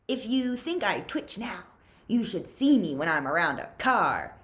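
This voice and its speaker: A woman's voice: mid-range voice, sing-song, measured pace. A woman reading the part of a fidgety teenage car thief from a storybook, casual and chatty. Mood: sheepish boasting. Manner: casual, hesitant, colloquial "you know".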